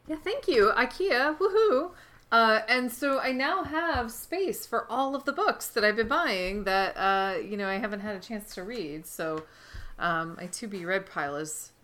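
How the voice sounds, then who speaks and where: Bright-voiced woman chatting as podcast guest. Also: medium pitch. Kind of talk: casual conversation about books.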